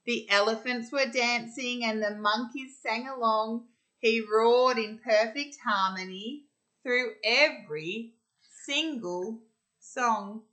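An Australian woman in her thirties reading a picture book aloud to children in an expressive, sing-song way. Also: on the high side, rough recording. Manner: deliberate, sing-song storytelling delivery. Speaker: primary school teacher reading storytime. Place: home kitchen, indoors.

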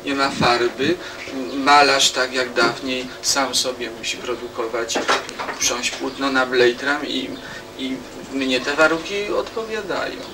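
A man in his forties speaking off the cuff in an interview, halting and repeating himself. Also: mid-range voice. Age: 40s. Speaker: artist and inventor interviewee. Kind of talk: spontaneous documentary interview. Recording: distant mic, old film soundtrack.

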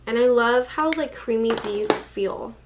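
A young woman in her twenties talks through a makeup haul in a casual, gushing way, peppering her talk with fillers and excited exclamations. She is recorded indoors in a small home room, sitting at a desk a little way from the camera. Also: a little high, a little slow, noisy background. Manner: gushing, chatty, with many likes and exclamations. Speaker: beauty vlogger. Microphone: camera at desk distance.

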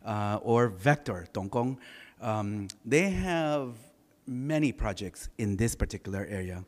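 A middle-aged man with a lowish baritone speaks at a podium microphone, relaxed and anecdotal, often pausing on "uh" and "um". His voice carries a long reverb from a large lecture hall. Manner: relaxed, anecdotal, many filler sounds. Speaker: architect giving a lecture. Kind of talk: conversational design lecture.

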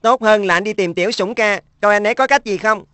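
A woman's voice: medium pitch, fast, fairly bright tone, no room sound, natural voice. Woman as an earnest cartoon schoolboy, dry sound. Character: earnest, thoughtful schoolboy, middle sibling. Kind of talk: Vietnamese-dubbed children's cartoon.

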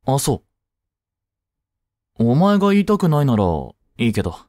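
A man's voice: fairly high pitch, sing-song, normal speed, a little mellow, dry recording, clean studio sound. A young man playing a laid-back, protective teenage childhood friend in a casual voice drama, speaking bluntly and teasingly as if to the listener. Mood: concerned. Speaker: voice actor. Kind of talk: first-person voice drama, casual chat to the listener.

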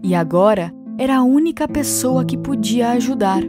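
A Brazilian woman narrating a scripted children's fable in an expressive storytelling style. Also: a little high, normal speed. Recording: music behind the voice.

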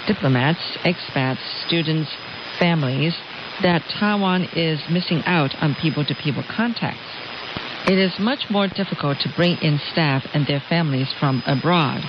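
Loud static hiss, dull shortwave radio, woman news presenter, mid-pitched, scripted delivery. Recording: hissy, noisy, dull shortwave radio reception.

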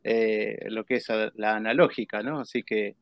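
Gravelly Argentine male host, dry sound. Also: fairly low pitch, steady tone, moderate pace, no room sound, dull audio. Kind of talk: casual chat, interviewing. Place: indoors.

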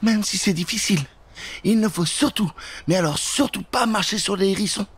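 Man doing a high-pitched, excitable little girl. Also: normal speed, husky. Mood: urgent. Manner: high, urgent, breathless exclamations. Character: frightened, excited little girls, two sisters fleeing an ogress.

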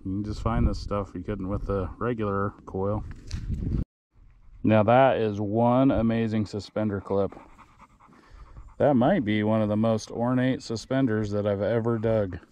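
A rather low-voiced man narrates his finds out in an open field, talking casually and perking up with easy excitement. The audio comes from a camera worn on his body, with plenty of outdoor noise behind him. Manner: upbeat, easily excited, thinking aloud. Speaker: metal detecting host. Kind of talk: casual narration while digging. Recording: outdoor recording with clear background noise.

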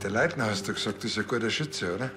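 A man's voice: on the low side, lively intonation, quick pace, reverberant, over music, natural voice. An actor playing a grumbling, self-important village policeman with a blunt Bavarian way of speaking. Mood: dry, casual. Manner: blunt, colloquial.

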